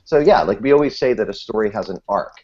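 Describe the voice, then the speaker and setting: A man's voice: fairly low pitch, lively intonation, fast talker, a little mellow, dull audio. A man speaking as a podcast guest in a casual panel discussion, with a jokey, playfully theatrical manner.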